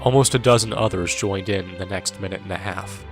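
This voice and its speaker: A world-weary soldier narrating an audio drama in the first person. His voice is low, with a dry, irreverent, sardonic delivery. Music sits underneath. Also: brisk. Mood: grim. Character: wry, world-weary male soldier recounting his war.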